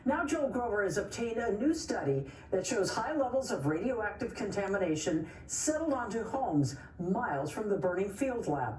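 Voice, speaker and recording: A woman's voice: mid-range voice, sing-song, normal speed, hardly any pauses, a little mellow. A woman anchoring TV news, reading a scripted introduction to an investigative report. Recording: echoey, distant sound with faint noise.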